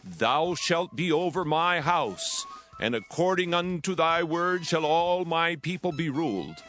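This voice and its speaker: An actor playing a commanding, troubled king of ancient Egypt in a dramatized scripture reading, grand and deliberate, with music behind him. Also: a little high, fairly bright tone. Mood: decisive, regal.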